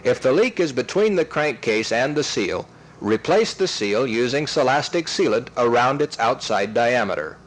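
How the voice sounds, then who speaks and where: A man reads scripted instructional narration in a methodical, step-by-step way, enunciating clearly. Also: medium pitch, expressive, moderate pace. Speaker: training film narrator.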